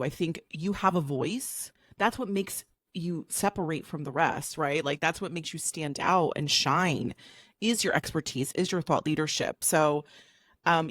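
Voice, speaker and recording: A woman co-hosts a podcast in a chatty, bubbly way, full of fillers. Her voice sits a little low and is recorded dry and close on a podcast mic. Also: no room sound. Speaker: podcast co-host. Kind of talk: casual chat.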